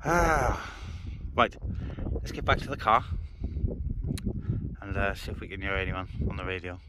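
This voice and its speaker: A man's voice: low voice, expressive, measured pace. A man with a British accent talking casually as a vlogger and CB radio operator. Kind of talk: casual radio chat and vlog talk. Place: parked car on an open moorland car park. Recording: faint background noise.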